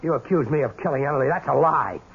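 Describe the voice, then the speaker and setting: An actor playing a polite but indignant young hustler and suitor in a 1970s radio drama. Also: male voice, a little high, animated, fast talker, slightly rough, exaggerated voice. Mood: indignant, defensive. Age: young adult. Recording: dull 1970s radio recording.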